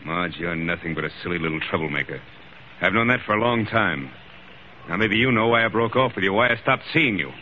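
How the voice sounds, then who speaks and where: An actor playing a calm, scornful attorney in old-time radio drama style. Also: male voice, low voice, brisk. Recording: dull, hissy old radio recording.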